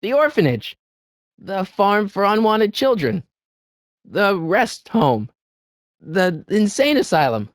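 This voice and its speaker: Animated, high-pitched teenage boy hero. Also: no echo, studio quality, heavily acted. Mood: friendly. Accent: American. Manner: hesitant, stammering, eager, fast-talking persuader. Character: earnest, anxious teenage boy hero of a time-travel adventure. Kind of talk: video game character dialogue.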